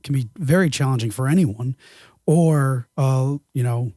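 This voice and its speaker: A man's voice: medium pitch, a little slow, dry recording, studio quality. A man hosting an interview podcast, talking loosely with fillers and restarts. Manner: rambling, relaxed, with filler words. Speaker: podcast host. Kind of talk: casual interview talk.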